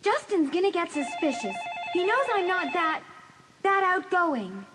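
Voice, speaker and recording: A woman's voice: very high voice, sing-song, a little slow, very noisy, exaggerated voice. A woman playing an anxious, earnest teenage girl in a dramatised audiobook. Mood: reproachful, worried.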